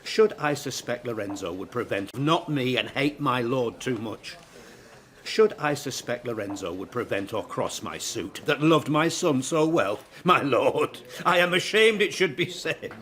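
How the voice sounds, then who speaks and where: Grieving middle-aged courtier in radio tragedy, swoopy voice, volatile, fake friendly. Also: male voice, medium pitch, animated, measured pace, a bit bright. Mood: agitated, courteous. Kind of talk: classical verse radio drama acting.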